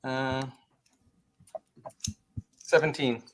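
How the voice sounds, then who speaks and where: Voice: medium pitch, fairly even intonation, very slow. A player in a tabletop roleplaying game, speaking casually and improvising, on a noisy mic with clicks.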